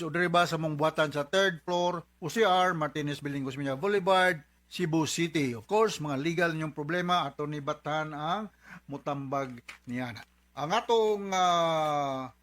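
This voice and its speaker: A man's voice: on the high side, fairly bright tone. A man with a Cebuano accent, a doctor hosting a radio advice program conversationally, repeating phrases and often adding little tag questions. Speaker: host, doctor giving advice.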